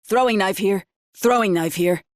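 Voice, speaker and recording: A woman's voice: medium pitch, normal speed, dry recording, studio quality, lightly acted. A woman voicing a confident fighter making short callouts in a video game.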